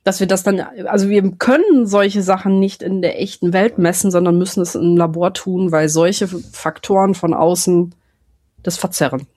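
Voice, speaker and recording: Female podcast host, slight lisp, husky edge, inquisitive. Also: on the low side, steady tone, normal speed, warm tone. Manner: curious, asks follow-up questions. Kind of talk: casual podcast conversation.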